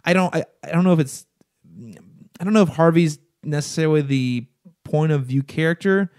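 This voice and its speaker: A man's voice: on the high side, a little fast, a little mellow. A man co-hosting a podcast in casual conversation, agreeable and chatty. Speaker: podcast co-host and film critic.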